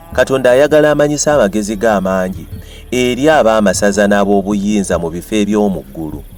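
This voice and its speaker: Ugandan guy doing a dramatized bible reading, lowish voice, bit of music behind him. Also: a little mellow. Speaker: Bible reader.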